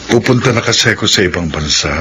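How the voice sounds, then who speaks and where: An actor playing a gentle, lovelorn male friend in a classic radio drama, soft-spoken and wistful. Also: lowish voice, lively intonation, fast talker, close to an everyday voice. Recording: noisy old radio drama recording.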